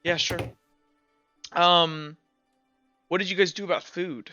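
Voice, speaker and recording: A man acting as game master, narrating and improvising in a casual, halting, conversational way. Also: high-pitched, steady tone, pushed and bright, no room sound, dull audio, faint music behind.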